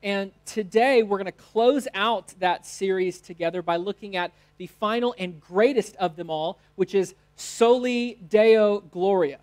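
A man's voice: high-pitched, slow, few pauses. A man in his thirties with an American accent, a pastor giving a sermon in short phrases. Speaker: teaching pastor. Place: church stage, indoors.

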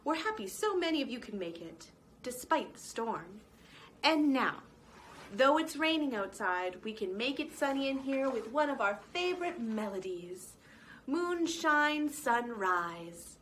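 Storybook bluebird crooner, bright and gracious host of the stage, woman voicing. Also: on the high side, sing-song, a little slow, a little hiss or hum, voice pushed up, low-quality recording. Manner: gracious, showy announcing. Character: bluebird singer, gracious performer.

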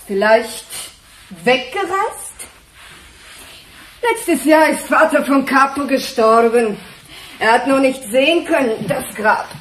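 A woman's voice: high-pitched, expressive, slow pace, long pauses. An actress playing a curt, guarded immigrant woman with a foreign accent, speaking terse broken German in a radio crime play. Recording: roomy echo with faint noise.

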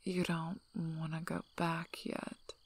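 Woman acting a husky, whispery female werewolf alpha. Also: deep voice, completely flat delivery. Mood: dry teasing. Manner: slow, dry teasing. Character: tough, teasing female werewolf alpha, gruffly protective. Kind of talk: soft-spoken ASMR roleplay.

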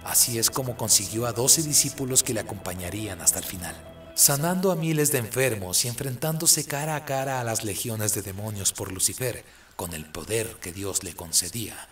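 A man's voice: on the low side, normal speed, a bit bright, soft background music. A man delivering scripted dramatic narration as the narrator of a radio drama.

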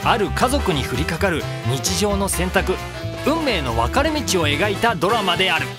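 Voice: mid-range voice, sing-song, normal speed, music in the background, natural voice. A narrator delivering dramatic scripted narration for a cartoon.

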